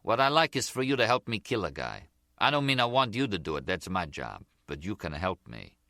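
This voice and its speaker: A male audiobook reader acts out a boastful mob killer from a crime novel. He talks in blunt, broken English with cocky menace, in a forceful, animated voice recorded very dry. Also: on the low side, a little fast, vocal fry, dry recording, full character voice. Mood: cold, businesslike.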